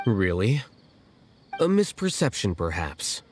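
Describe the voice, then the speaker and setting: A man acting an aloof, blunt young scholar-official in a video game, speaking coolly and precisely, deadpan throughout. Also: lowish voice, takes it slowly, slight background noise. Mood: flatly probing. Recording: game music behind the voice.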